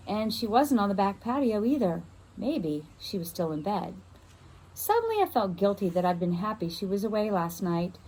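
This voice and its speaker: A woman reads a children's first-person novel aloud in an expressive storytelling style, as a teacher would. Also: medium pitch, low-quality recording.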